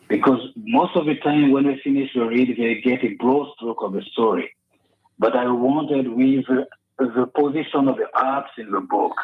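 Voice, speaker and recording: A man from Ivory Coast with a French-speaking West African accent, speaking French as his first language, talks about his book as a radio guest, pausing often to find words. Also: mid-range voice, a little hiss or hum. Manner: halting, searching for words with many fillers. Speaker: author guest. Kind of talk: radio interview by phone. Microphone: headphone mic over a phone line. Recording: tinny phone line.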